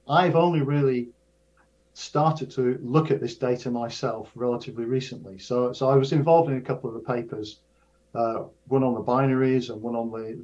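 An older man, a professor of astrophysics, explaining research in a measured way, often restarting and repeating his words. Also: medium pitch, a little mellow. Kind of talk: casual explanatory interview. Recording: dull lo-fi sound.

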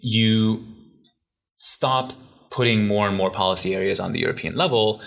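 A man's voice: fairly low pitch, normal speed, gravelly, a bit bright. A man from Hungary, a guest expert, answering interview questions in a thoughtful, conversational way with frequent restarts.

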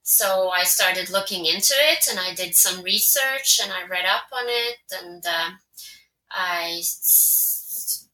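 A woman in her forties with a Swedish accent speaks in a bright, sharp-edged voice with slightly low pitch. She answers interview questions thoughtfully, pausing to find her words, with English as a second language. The room around her rings with echo. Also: a little hiss or hum. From Sweden. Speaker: guest, translator. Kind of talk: conversational interview. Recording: roomy echo.

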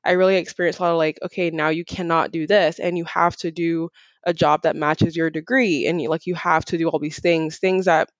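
A woman's voice: low-pitched, little pitch movement, fairly quick, dry recording, highs cut off. A woman from Barbados answering casually and informally as a podcast guest, sprinkling in "like" and "you know". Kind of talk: casual conversational interview answers.

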